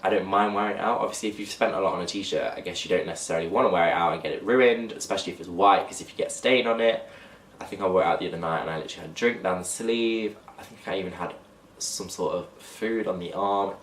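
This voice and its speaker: A man's voice: deep voice, quick pace, fairly bright tone, distant mic, slight background noise. A young man with a slightly blocked, nasal voice, chatting casually to camera in a clothing haul vlog. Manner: chatty, talks to camera while holding up clothes. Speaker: fashion vlogger. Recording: echoey room, voice off-mic.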